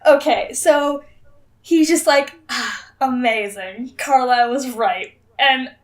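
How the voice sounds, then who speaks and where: Woman co-host, notably high-pitched, casual book recap, profane slang, quick to giggle, irreverent. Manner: giggly, laughs often. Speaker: podcast co-host. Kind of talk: casual book-discussion chat.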